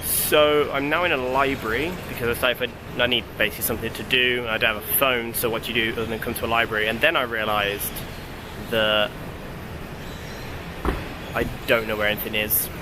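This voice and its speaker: A man's voice: medium pitch, fairly even intonation, brisk, background noise. A man presenting casually and informally, with a wry, self-deprecating manner. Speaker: student documentary presenter. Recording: music behind location audio. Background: music.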